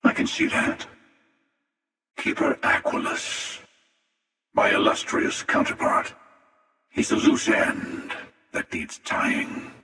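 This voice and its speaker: An actor playing a menacing, world-weary interdimensional hunter in a video game, slow and taunting, with a gravelly rasp and an otherworldly echo. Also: male voice, lowish voice, huge pitch swings, bright, forceful tone, heavily acted. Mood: coolly calculating. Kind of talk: video game character dialogue. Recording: reverberant, distant echoing voice.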